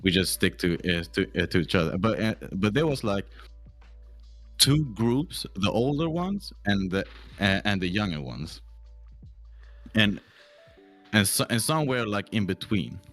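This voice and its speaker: A young man chatting casually, halting and repeating words as he goes, with casual swearing. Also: lowish voice, lively intonation, fairly bright tone, soft background music. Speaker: podcast co-host. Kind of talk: casual chat.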